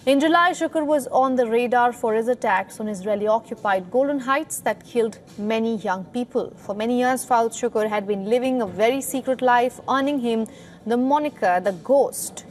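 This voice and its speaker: High-pitched woman narrating a news report. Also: normal speed, a little hiss or hum.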